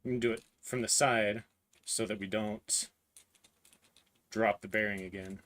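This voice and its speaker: A man in his thirties with a hoarse, lowish voice talks through a hands-on build on a live stream. He halts, searches for words and pokes fun at his own slips. His voice catches now and then, and he keeps clearing his throat. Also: fairly even intonation, a bit bright. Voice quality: voice cutting out, clears throat often. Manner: halting, searching for words, self-deprecating. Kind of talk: live build stream, casual talk while working.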